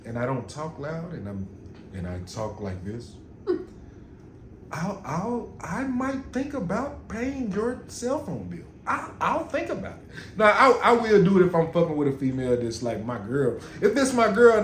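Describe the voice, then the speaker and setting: A man, co-hosting a podcast in loud, joking, profane banter. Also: fairly high pitch, sing-song, normal speed, roomy sound, slight background noise. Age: 30s. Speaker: podcast co-host. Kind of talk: casual podcast chat.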